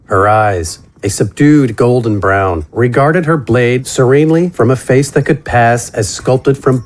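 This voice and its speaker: A man narrates a fantasy audio story in a dramatic, scripted style. His pitch moves expressively from phrase to phrase. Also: slight background noise.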